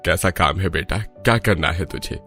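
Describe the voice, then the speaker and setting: Very low, subdued elderly father, played by a man. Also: moderate pace, sharp tone, soft background music. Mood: concerned. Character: gentle, regretful elderly father-in-law with bad legs.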